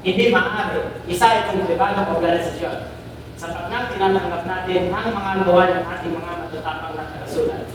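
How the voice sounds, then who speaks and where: In a very echoey hall, far from the mic, a cautious, formal society member played by a man speaks. It is an amateur period drama with a fairly high male voice. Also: steady tone, a little fast, background noise, close to an everyday voice. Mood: firm, wary. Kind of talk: amateur student historical drama in Tagalog.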